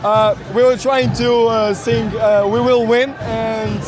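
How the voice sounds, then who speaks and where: Male interviewee, extremely high register, hesitant phrasing, outdoors among a crowd. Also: little pitch movement. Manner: hesitant, halting delivery. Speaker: protester being interviewed. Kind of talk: street interview. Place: outdoors on a street at a protest. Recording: outdoor street with crowd behind. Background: crowd noise.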